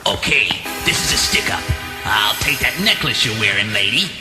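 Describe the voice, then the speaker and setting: An actor playing a threatening, jumpy street thug with a gun in a forceful cartoon style. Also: male voice, on the low side, lively intonation, moderate pace, lightly acted. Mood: menacing. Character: menacing male street thug, jumpy gunman. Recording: long echo, music behind.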